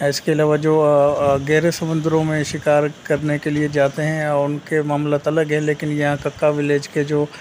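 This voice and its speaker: A Pakistani man delivers an informal market update in a somewhat high voice that stays extremely flat. He pauses often, stretching out fillers as he goes. Ambient noise sits behind his voice. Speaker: host of fish market report vlog. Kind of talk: informal market report narration.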